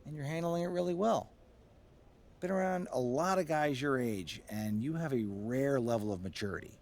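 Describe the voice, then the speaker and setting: A man's voice: medium pitch, moderate pace, darkish tone, close to an everyday voice. A man playing an upbeat, supportive and slightly awkward basketball coach in a game cutscene, earnest and a bit corny. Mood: encouraging, earnest. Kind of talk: video game cutscene dialogue.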